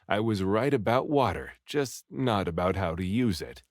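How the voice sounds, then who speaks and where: A man narrates an adventure story with lively, swooping intonation. He reads expressively, playing up the first-person hero's words. The recording is dry and close, with little room sound. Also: fairly low pitch, moderate pace, no echo. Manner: animated, dramatic, acts out the first-person hero. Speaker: audiobook reader. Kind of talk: expressive scripted story narration, read aloud.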